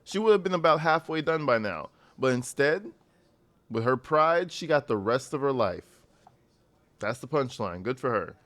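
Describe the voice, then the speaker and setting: A man's voice: medium pitch, expressive, normal speed, a bit bright. A man hosting a reaction channel, commenting bluntly and mockingly on a jail call.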